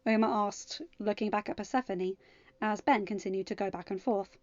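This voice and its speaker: A woman narrating fan fiction in an expressive, scripted storytelling style. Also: mid-range voice, moderate pace, dry recording, highs cut off. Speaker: podfic reader.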